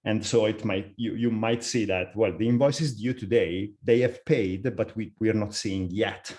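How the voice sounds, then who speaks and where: A middle-aged man with an Italian accent talks through a live software webinar. His voice sits a little low. He often stops to restart a phrase or fill a pause with an "uh". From Italy. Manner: frequent fillers and repeated restarts. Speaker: software architect, webinar speaker.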